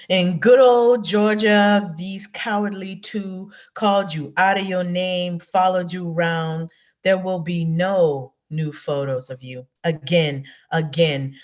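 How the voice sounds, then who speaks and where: A woman poet giving a solemn poetry reading over a dull, lo-fi online call. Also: deep voice. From South Carolina, USA. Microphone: online video call.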